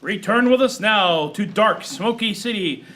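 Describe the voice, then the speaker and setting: An actor playing an excitable announcer, doing mock old-time radio announcing for a noir parody on stage. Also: male voice, sits high, a little slow, heavily acted. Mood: melodramatic. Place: small theater stage before live audience.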